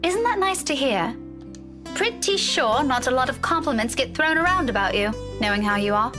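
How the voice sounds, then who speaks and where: An actress playing a blunt, needling schoolteacher with grudging kindness in a visual novel. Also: female voice, sits high, lively intonation, moderate pace, over music. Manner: frank, teasing, lecturing. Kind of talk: dramatic visual novel voice acting.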